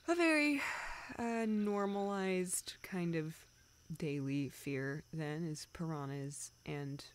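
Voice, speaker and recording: A woman acting a tearful, self-deprecating young woman in an intimate audio roleplay, halting and rambling as she talks to a close friend. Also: low voice, a little hiss or hum. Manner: halting, rambling, soft laughs and whispered asides.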